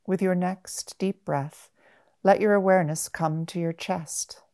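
A woman guiding a meditation in a gentle, measured manner, with long pauses between short phrases. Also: on the low side, steady tone. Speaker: mindfulness meditation guide. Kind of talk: guided body-scan meditation.

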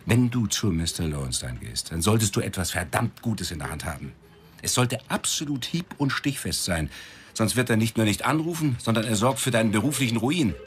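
An actor playing a foul-mouthed, sarcastic newspaper editor in a radio drama, blustering and sardonic. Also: male voice, lowish voice, sing-song, fairly quick, slight background noise. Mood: wry, warning.

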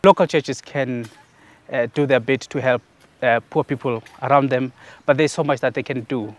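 A man, a church leader, interviewed outdoors in a garden, calm and reflective. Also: mid-range voice, moderate pace, no echo. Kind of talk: documentary interview.

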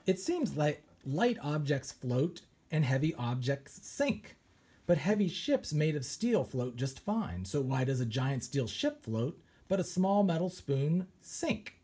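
A man, a children's author, reads a science picture book aloud with animated storytelling and pirate flair. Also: fairly high pitch, takes it slowly, breathy edge. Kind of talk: expressive read-aloud.

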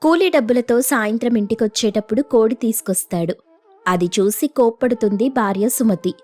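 A woman's voice: fairly high pitch, normal speed, darkish tone, soft background music. A woman narrating a scripted moral folk tale as the storyteller.

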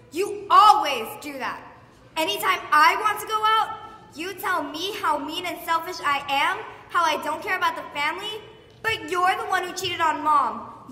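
Brief: actress playing an accusing, bitter teen daughter, very high, bright voice, echoey hall far off. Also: female voice, full character voice. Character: angry, hurt teenage daughter confronting her father. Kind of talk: stage monologue. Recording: echoey hall, distant mic.

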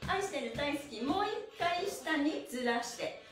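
A woman's voice: sits high, animated, a little slow. A middle-aged woman leading a stretching class, giving cheerful, encouraging cues and rhythmic chants, recorded from a camera a few metres away in a small room. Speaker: stretching instructor and host. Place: small bare-walled indoor studio. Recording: echoey room, distant microphone.

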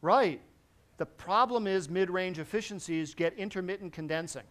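A middle-aged man giving a technical lecture to a seated audience in a large conference hall, lively and constantly checking in with the listeners. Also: high-pitched, normal speed. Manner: lively, quizzes the audience with frequent "right?". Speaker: presenter at a technical symposium.

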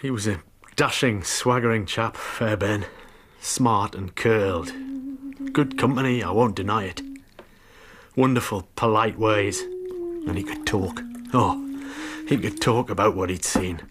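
A man's voice: lowish voice, measured pace, noisy background, natural voice. An actor in a radio drama playing a bitter, jealous, hard-drinking husband confessing to murder, brooding as he tells it. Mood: bitter confession. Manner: brooding, confessional storytelling that breaks into confrontation.

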